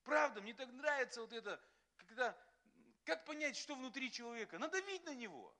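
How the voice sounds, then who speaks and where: Lively, bright-voiced male pastor. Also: extremely high pitch, a little fast. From Russia. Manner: animated, acts out quotes, laughs often. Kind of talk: sermon.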